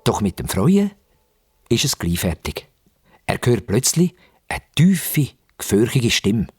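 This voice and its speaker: A man narrates for young children with a Swiss German accent. His voice is markedly husky and moves widely in pitch. He uses dramatic pauses and repetition, with music underneath. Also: sing-song, a little mellow.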